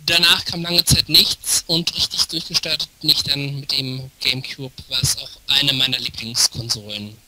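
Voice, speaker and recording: Bright, sharp-voiced man on a noisy call. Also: mid-range voice, fairly even intonation, moderate pace, few pauses. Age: 30s. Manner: wry, tongue-in-cheek. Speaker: podcast co-host. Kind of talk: casual podcast talk. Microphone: online voice call. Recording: noisy, clicky internet call.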